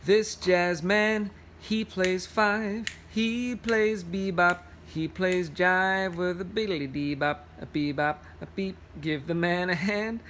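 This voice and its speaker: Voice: medium pitch, steady tone, takes it slowly, hardly any pauses, fairly bright tone, slight background noise. A person reading a picture book aloud to children, playful, with singsong rhymes, scat sounds and casual explanations.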